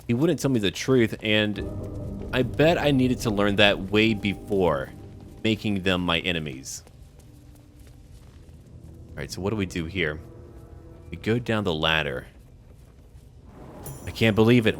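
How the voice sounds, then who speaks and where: A man's voice: lowish voice, a little slow, long pauses, faint music behind. A man doing casual video game commentary, thinking aloud and talking to his viewers.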